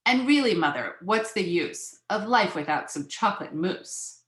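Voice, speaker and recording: A woman's voice: on the low side, expressive, moderate pace, a bit bright, echoey room. A woman voicing a petulant, dramatic little girl in a children's storybook, with a pleading manner.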